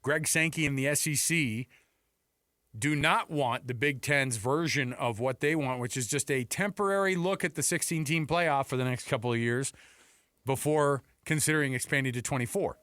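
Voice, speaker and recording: A man co-hosting a sports radio show, chatting casually and informally in an animated way. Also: medium pitch, moderate pace, dry recording, clean studio sound. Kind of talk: casual sports talk banter.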